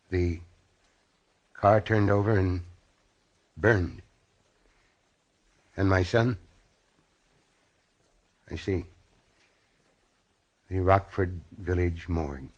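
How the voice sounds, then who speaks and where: An actor playing a grave, protective doctor and husband, earnest and commanding, in 1940s radio style. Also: male voice, low-pitched, very slow, long pauses, muffled recording, full character voice. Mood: shocked, subdued.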